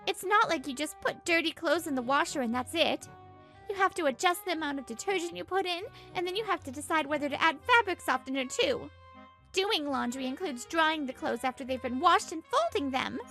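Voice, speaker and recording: A woman's voice: high-pitched, vocal fry, a bit bright, full character voice. A woman playing an exasperated young pregnant housewife in a manga dub, complaining expressively and narrating her troubles. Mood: venting.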